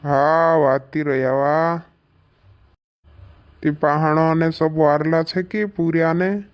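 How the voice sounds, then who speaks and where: High-voiced village father, acted by a man. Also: fairly even intonation, takes it slowly, clean tone. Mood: questioning. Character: village father, head of the household. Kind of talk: cartoon comedy dialogue.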